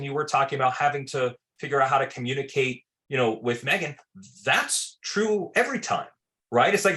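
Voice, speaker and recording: A man answering interview questions in a relaxed, rambling way. He restarts his sentences often, and his pitch sits in the middle range. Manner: loose, filler-heavy, repeats words; says he has a very loud voice. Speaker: film director.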